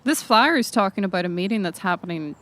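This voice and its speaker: A woman's voice: medium pitch, animated, fast, fairly bright tone, close to an everyday voice. A woman playing an inquisitive, tactful investigator, explaining hesitantly in improvised role-play. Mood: inquisitive, tentative.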